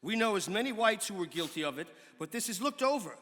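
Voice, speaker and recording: A man with a slightly high voice reads archaic formal prose as a dramatic performance. His delivery is measured and declamatory. The sound carries the long reverb of a large hall. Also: sing-song, fairly bright tone. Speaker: actor giving a dramatic reading. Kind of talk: dramatic reading of an 18th-century pamphlet.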